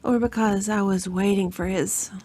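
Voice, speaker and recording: A woman reading a novel aloud in a drawn-out, melodramatic way. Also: mid-range voice, fairly even intonation, mellow. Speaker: story reader.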